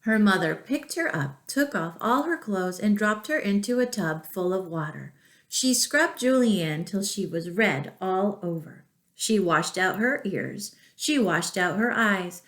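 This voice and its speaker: A woman reads a picture book to young children. Her pace is slow and deliberate, her delivery expressive with asides to the listeners. The room around her is noticeably echoey. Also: medium pitch. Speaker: children's storyteller at a school. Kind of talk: storybook read-aloud to young children.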